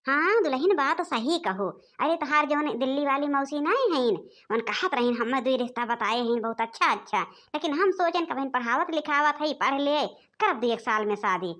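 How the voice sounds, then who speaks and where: A high-pitched village mother-in-law from an animated comedy, played by a woman. She has an Awadhi accent. She frets, laments and scolds in a nagging way. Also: normal speed, few pauses. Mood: troubled.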